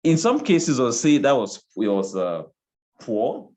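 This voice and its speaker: A man's voice: medium pitch, huge pitch swings, unhurried, mellow. A man from Cameroon, a guest in an interview, talking in a reflective, casual way and often repeating phrases while he searches for words.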